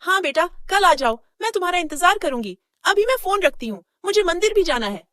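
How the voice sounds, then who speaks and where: A woman putting on a bright, bossy mother-in-law. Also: extremely high pitch, big pitch swings, fairly quick, no room sound, exaggerated voice. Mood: affectionate. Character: fussy middle-aged mother-in-law, doting on daughter.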